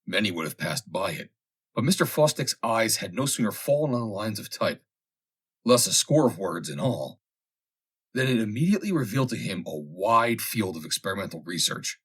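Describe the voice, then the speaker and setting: A man narrates a humorous vintage tale in a rather low, husky voice. His pitch moves in animated swings, and he phrases things deliberately, with theatrical emphasis on key words. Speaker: audiobook narrator.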